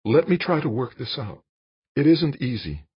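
Audiobook role: anguished woman in love, earnest, raised voice pitch, slightly husky male reader. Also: animated, normal speed, dry recording, muffled recording. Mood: pleading. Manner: earnest, pleading. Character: adult woman politician, anguished, torn, pleading lover. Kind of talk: audiobook character dialogue.

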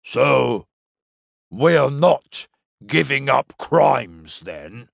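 Man doing a huge, halting, simple-minded alien. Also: mid-range voice, sing-song, gravelly, dry recording, studio quality, exaggerated voice. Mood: worried, naive. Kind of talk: audiobook character acting.

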